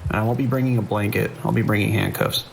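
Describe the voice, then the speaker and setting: A man playing a dry, weary deputy at a dispatch desk in an audio drama, steady and laconic. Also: fairly low pitch, fast, creaky, slight background noise. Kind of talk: audio drama phone dialogue.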